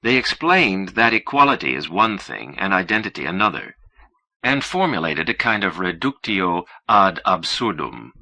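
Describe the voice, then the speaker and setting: A man reading literary fiction as an audiobook narrator, in a measured, formal and precise manner. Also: deep voice, very animated, bright, forceful tone, dry recording.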